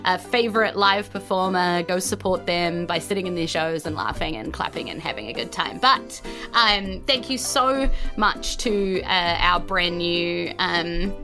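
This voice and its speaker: A woman's voice: on the low side, unhurried, pushed and bright, soft background music. A woman with a New Zealand accent, game master and podcast host, narrating and addressing listeners. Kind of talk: game narration and scripted-casual show sign-off.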